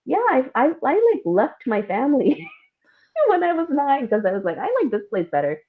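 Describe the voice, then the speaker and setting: A woman from India and Singapore chatting casually as an interview guest, telling personal stories in an animated way with frequent laughter. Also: fairly high pitch, moderate pace. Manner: animated, laughs often while talking. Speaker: podcast guest, playwright and screenwriter. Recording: thin phone-like call audio.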